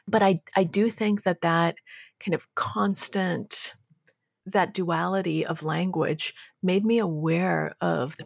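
A woman's voice: lowish voice, creaky, slightly rough, dry recording, clean studio sound. A woman with an American accent, speaking as an interview guest in a reflective, articulate, conversational storytelling way. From born in Moscow, raised in the United States.

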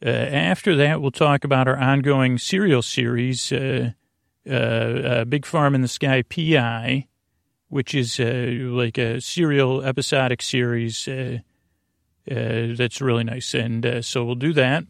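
Rambling man, creaky voice. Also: mid-range voice, steady tone, dry recording, clean studio sound. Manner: halting, repetitive, digressive, stammering restarts. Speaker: podcast host. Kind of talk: rambling, meandering bedtime podcast monologue.

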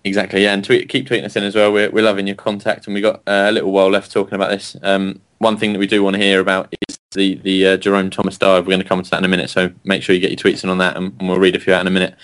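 A man's voice: low-pitched, monotone, rapid-fire, hardly any pauses, fairly bright tone, smooth. A man hosting a casual football fan radio show, chatty and laughing often. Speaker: host.

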